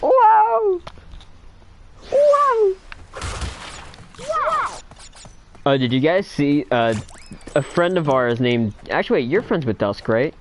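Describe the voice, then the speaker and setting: A young man hosting a livestream, chatting casually while he plays a game. His voice is thin with little bass, and he is excitable and laughs a lot. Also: slow, long pauses, slight background noise. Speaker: livestream host.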